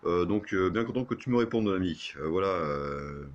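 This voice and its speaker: A man chatting casually as an amateur radio operator, excitable and slangy. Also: deep voice, moderate pace, dull audio.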